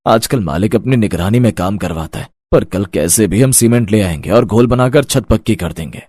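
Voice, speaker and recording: An actor playing a weary, resigned village family man, husky and placating, in a cartoon story. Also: fairly low pitch, expressive, normal speed, a little mellow, no echo, studio quality. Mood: calming. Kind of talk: cartoon moral story dialogue.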